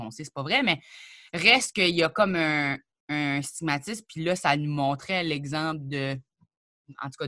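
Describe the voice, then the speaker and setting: A young Acadian woman talks in a relaxed, chatty way, jumping into quick asides. Her voice is bright and forward. It sounds dry and close, with almost no room echo. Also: deep voice. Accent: Acadian French. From New Brunswick, Canada. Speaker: comedian and rapper, guest. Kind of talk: casual interview chat.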